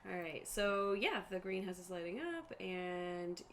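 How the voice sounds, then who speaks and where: A woman's voice: fairly low pitch, expressive, unhurried, a bit bright. A woman running a tabletop game as game master, explaining the scene informally as she improvises. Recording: faint room noise.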